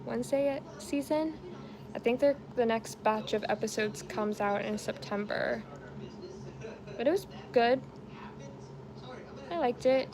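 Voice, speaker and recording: A woman's voice: a little high, measured pace, pauses often, crowd noise. A woman around thirty chatting casually on a home crochet stream, rambling and laughing easily as she reads comments. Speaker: crochet livestream host. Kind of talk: casual chat while crocheting, reading comments.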